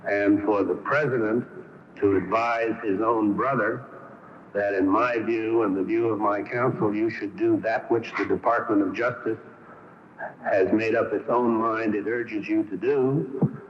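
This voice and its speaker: An American man, a government lawyer, making formal, measured, legalistic remarks to reporters on a news clip. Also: fairly low pitch, steady tone. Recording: echoey muffled press clip, faint noise.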